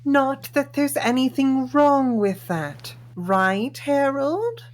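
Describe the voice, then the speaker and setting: A woman's voice: high voice, lively intonation, slow, a little hiss or hum. A woman voicing a polite, anxious, conciliatory queen in a comedic table read, gracious and placating. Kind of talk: comedic table read of animated film script.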